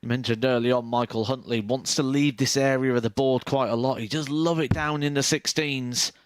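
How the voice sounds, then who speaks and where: A man giving live darts commentary in a measured, explanatory way. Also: mid-range voice, fairly even intonation, no room sound.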